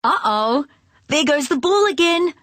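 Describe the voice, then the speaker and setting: A woman voicing an excited young kid who shouts warnings during a street hockey game in a storybook reading. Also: sits high, unhurried, no echo, clean studio sound, pitched above the performer's own voice. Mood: dismayed.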